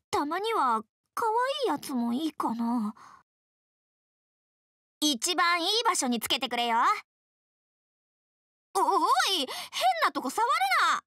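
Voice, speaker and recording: A woman voicing a brash, tomboyish young game character, hearty but easily flustered, who talks in rough, blunt slang. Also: very high voice, very animated, slow, pauses often, sharp tone, dry recording, clean studio sound, heavily acted. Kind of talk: video game character voice lines.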